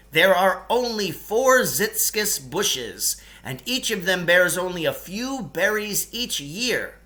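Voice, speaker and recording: Man acting a pompous, bright-voiced storybook raconteur. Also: high voice, heavily acted. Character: long-winded, nostalgic storyteller man devoted to tea-like ritual. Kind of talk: children's novel read aloud in character.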